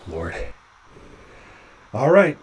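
An actor playing a nervous, chatty male doctor climbing along a ship's hull, halting with stammering restarts. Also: mid-range voice, big pitch swings, slightly rough, exaggerated voice. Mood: anxious. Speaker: physician on a spacewalk. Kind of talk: audio drama acting.

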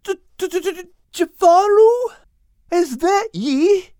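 A little storybook tomcat, pitched far up and bouncing all over. He is nervous and excitable, stammering through folksy exclamations in a dry, close recording. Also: unhurried, dry recording. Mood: frightened, stuttering. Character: small, excitable, nervous male cat, comically flustered. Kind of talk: children's storybook character voice.

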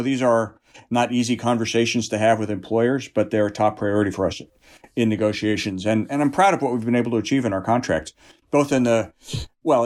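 A man speaking as an interviewed union representative, in an earnest, measured and explanatory conversational way. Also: lowish voice, a little mellow.

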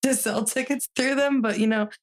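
A woman's voice: high voice, steady tone, moderate pace. A young woman chatting on a podcast about working in event production, rambling with lots of fillers. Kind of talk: casual chat.

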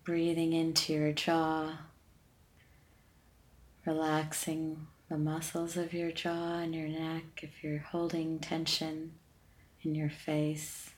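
Low, slow woman guiding meditation. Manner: calm, soothing, unhurried with long pauses. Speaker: meditation podcast host and guide. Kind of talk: guided meditation, gentle instruction.

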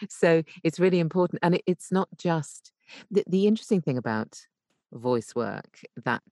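A British woman talking conversationally as an interview guest, explaining things in an animated, emphatic way from a home voice booth. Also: low voice, moderate pace, no room sound, clean studio sound. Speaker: podcast guest, voice actor and coach.

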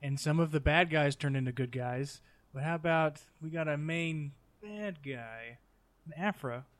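A man with a fairly high voice speaks as a podcast panelist. The delivery is casual discussion, recorded dry with hardly any room sound. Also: a little slow, a bit bright, no room sound.

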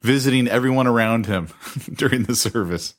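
A man co-hosting a podcast, telling stories in animated casual banter and laughing as he talks. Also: mid-range voice, moderate pace. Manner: animated storyteller, laughs while talking. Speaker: podcast co-host.

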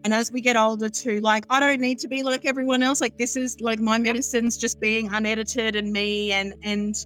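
Chatty high-voiced woman with a cackle. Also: level tone, fairly quick, a bit bright. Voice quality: high-pitched, smooth voice. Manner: chatty and unfiltered, cackling laugh. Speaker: astrologer guest. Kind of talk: casual interview chat.

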